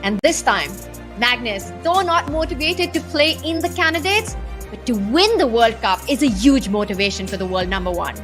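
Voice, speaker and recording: A woman with an Indian accent commentating live chess in a lively, good-humoured way. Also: on the high side, moderate pace, soft background music.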